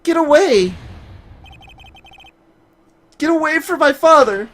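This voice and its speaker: A woman voicing a terrified young child in a game, with desperate, loud cries. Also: high voice, expressive, slow pace, exaggerated voice.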